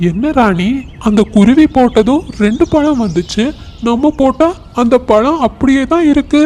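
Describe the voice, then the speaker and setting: Woman doing a mellow, gullible cartoon crow husband. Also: sits high, expressive, normal speed. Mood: whining dismay. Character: gullible male crow husband, whiny and hungry. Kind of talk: children's cartoon story acting.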